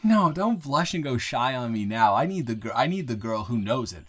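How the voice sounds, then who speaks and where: A young man acting an earnest, smitten guy new in town in an improvised audio roleplay, speaking intimately and haltingly with repeated words. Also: mid-range voice, very animated. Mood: earnest, tender. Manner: halting, rambling, with repeated words and nervous chuckles.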